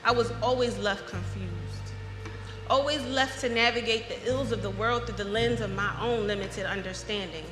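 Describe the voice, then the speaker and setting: American woman doing spoken word on stage, emphatic and poetic, big reverby hall, some music. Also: medium pitch. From United States (Philadelphia). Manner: emphatic, poetic delivery with deliberate pauses and stresses. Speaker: storyteller performing an autobiographical monologue. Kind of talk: dramatic spoken-word monologue performed live. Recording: echoey hall with long reverb.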